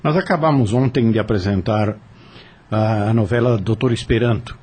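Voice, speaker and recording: Older brazilian guy hosting a radio talk, kinda husky, repeats words as he explains. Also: fairly low pitch, moderate pace, darkish tone. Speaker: radio host. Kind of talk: radio panel discussion.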